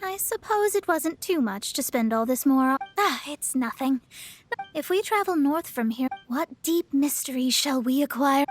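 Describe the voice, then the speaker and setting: A woman's voice: high-pitched, lively intonation, moderate pace. An actress playing a proud young female astrologer in a video game, speaking in a pompous, formal manner. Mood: flustered, proud. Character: proud young female astrologer, haughty but easily flustered. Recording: music behind the voice.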